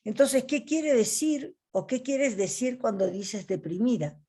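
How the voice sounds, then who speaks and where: A woman from Argentina with an Argentine accent, leading an online coaching ethics class in a deliberate teaching manner. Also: on the high side, expressive, mellow, breathy edge. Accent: Argentine Spanish. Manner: slow, deliberate teaching with pauses.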